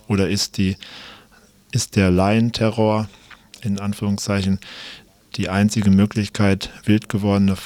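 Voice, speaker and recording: A man's voice: lowish voice, darkish tone, no room sound. A man reading out a scripted programme announcement as a radio presenter.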